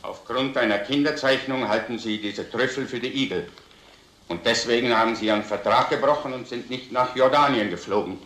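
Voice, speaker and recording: An actor playing a stern police commander, formal and authoritative, in an old crime film. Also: male voice, medium pitch, fairly quick, a bit bright, natural voice. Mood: stern, dismissive. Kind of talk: vintage German crime film acting. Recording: roomy old film soundtrack.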